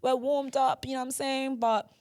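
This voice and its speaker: A woman's voice: high-pitched, level tone, moderate pace, darkish tone. A young woman hosting a podcast in casual chat, talking chattily with lots of filler words. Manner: chatty, lots of "like" and "do you know what I mean". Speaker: podcast host.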